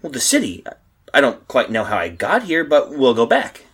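An actor playing a frightened, bewildered man stranded in a nightmare world, narrating in halting, stammering old-time radio horror style. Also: mid-range voice, huge pitch swings, a bit bright, breathy edge, full character voice. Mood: flustered, agitated.

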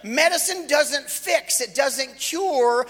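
High, bright-voiced preacher in his forties. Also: slow. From Los Angeles, California. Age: 40s. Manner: loose, joking, conversational with frequent restarts. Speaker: visiting preacher. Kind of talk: sermon. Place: church hall. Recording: echoey hall with long reverb.

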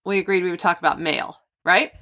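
A woman's voice: lowish voice, steady tone, brisk, bright, forceful tone. A woman hosting a podcast in a conversational, emphatic way, drawing out key words. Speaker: podcast host. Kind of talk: hosted podcast intro and phone interview.

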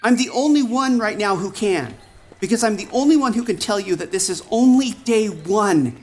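Actress as an animated, earnest, protective friend. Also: female voice, mid-range voice, moderate pace, natural voice. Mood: insistent, exasperated. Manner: earnest, insistent. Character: protective, worried old friend and strategist, earnest, insistent. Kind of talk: fiction podcast drama acting.

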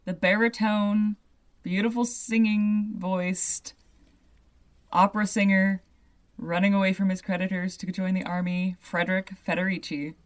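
A young adult woman with a deep voice, hosting a podcast and telling a story in a loose, chatty way full of "like" asides. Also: flat delivery, unhurried. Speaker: podcast host. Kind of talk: casual storytelling chat.

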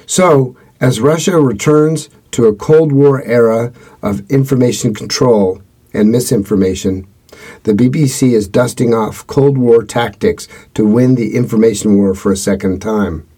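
A man hosting a podcast, reading a scripted explanation in an earnest, measured way. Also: medium pitch, warm tone, breathy edge. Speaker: podcast host.

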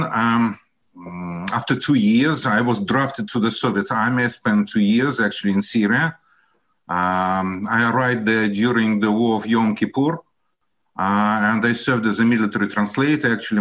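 An older man with a Russian accent, a professor answering interview questions in a reflective, hesitant way with many pauses and fillers. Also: fairly low pitch, a bit bright.

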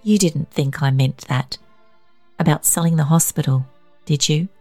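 A woman voicing a blunt, gruff but soft-hearted old man in a narrated story. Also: deep voice, normal speed. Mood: wistful. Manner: blunt, brusque. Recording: roomy echo, faint music.

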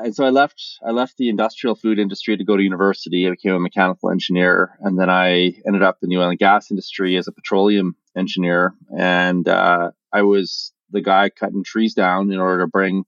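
A man who is a podcast guest telling his story in a relaxed, measured way, with filler pauses. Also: sits low, dry recording. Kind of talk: conversational storytelling. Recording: dull lo-fi remote audio.

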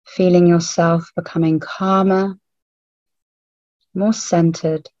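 A woman leading a guided meditation in a hushed, soothing manner, leaving long pauses between short phrases. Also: fairly low pitch, little pitch movement. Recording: roomy, phone-like narrowband audio.

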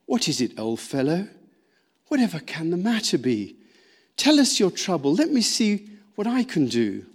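A man doing a brisk, kindly water rat. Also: animated. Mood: gentle. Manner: brisk, then gentle and low.

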